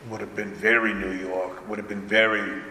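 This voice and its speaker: A man's voice: lowish voice, little pitch movement, normal speed, bright, forceful tone. A man speaking as a director on a casual convention panel, rambling along with trailing asides. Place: convention panel room, indoors. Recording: echoey hall, noisy room ambience.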